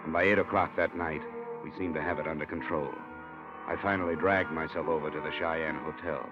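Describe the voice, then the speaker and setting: A man's voice: extremely low pitch, fairly even intonation, fairly quick. A man playing a tough, wry insurance investigator, clipped and dry, narrating a vintage radio detective drama. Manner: clipped, dry, hard-boiled. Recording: dull old radio recording, loud music.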